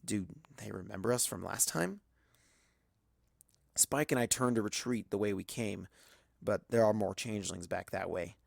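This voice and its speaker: A man's voice: on the low side, normal speed, gravelly, long pauses, no room sound, studio quality. A man reading a story aloud as a dramatic first-person narration.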